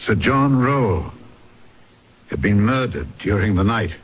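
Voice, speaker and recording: Deep, dramatic investigating magistrate. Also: male voice, lively intonation, background noise. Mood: haunted, dramatic. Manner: brisk questioning, theatrical. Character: probing, impatient male investigating magistrate. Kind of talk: period radio drama acting. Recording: dull old radio recording.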